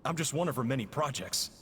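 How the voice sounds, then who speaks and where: An actor playing a smug, coolly amused villainous agent in a video game, talking in a sardonic, theatrical manner. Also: male voice, on the high side. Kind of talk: dramatic video game voice acting. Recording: long reverb, faint music.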